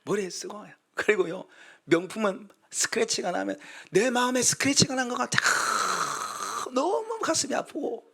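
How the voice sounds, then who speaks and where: A man's voice: high voice, animated, moderate pace, creaky, sharp tone, breathy edge. A man who is a pastor, preaching a sermon in a storytelling way, acting out quoted voices and building to emphatic exhortation.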